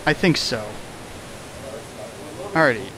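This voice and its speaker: A man's voice: medium pitch, expressive, takes it slowly, sharp tone, poor audio. A man hosting a streamed fan fiction reading, casual, playful and mocking as he reads and riffs. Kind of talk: casual riffing and dramatic reading.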